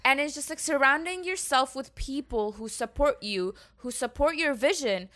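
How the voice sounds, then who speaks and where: A woman's voice: sits high, expressive, moderate pace, fairly bright tone. A woman hosting a casual chat, speaking in an earnest, reflective way.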